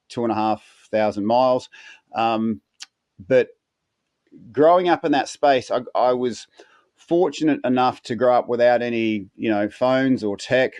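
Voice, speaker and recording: An earnest Australian man in his fifties tells his story as a podcast guest, circling back and repeating words as he restarts. His voice sits in a middle pitch and is recorded dry and close, with no room sound. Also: a little mellow, no echo, dull audio. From South Australia. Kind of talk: storytelling interview answers.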